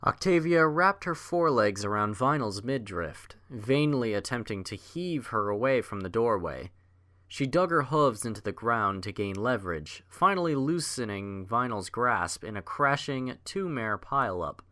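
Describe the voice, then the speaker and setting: A man narrating a scripted story as an audiobook reader, in measured literary storytelling. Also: medium pitch, lively intonation, sharp tone.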